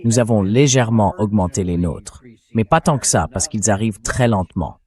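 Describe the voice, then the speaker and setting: A man's voice: fairly low pitch, expressive, moderate pace, darkish tone. An AI-voiced man speaking as a talk show host, giving dubbed political commentary. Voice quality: synthetic AI voice.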